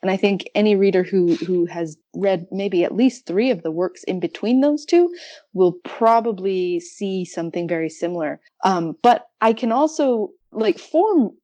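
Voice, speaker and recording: A young woman with a soft-edged, mellow tone discusses books casually as a podcast guest. She is reflective, often searching for the right word, in a dry recording with little room sound. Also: mid-range voice, lively intonation, moderate pace, no room sound, dull audio.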